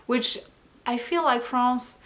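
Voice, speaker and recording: A woman's voice: on the high side, takes it slowly, a bit bright. A woman who grew up in Germany, tired, chatting loosely in a personal vlog update as an artist, often correcting herself and laughing lightly. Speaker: artist vlogger.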